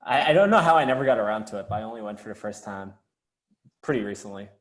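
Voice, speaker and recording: A man's voice: on the low side, lively intonation, quick pace. A man from Ireland with a fading Irish accent, chatting modestly on a video call and laughing often. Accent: Irish, fading toward American. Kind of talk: casual video-call chat.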